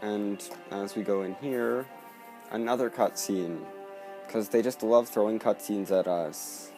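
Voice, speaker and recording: A man narrates his playthrough in a casual, conversational way. His voice is fairly low, with faint game music underneath. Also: a little slow. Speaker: let's play commentator. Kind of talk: casual gameplay commentary.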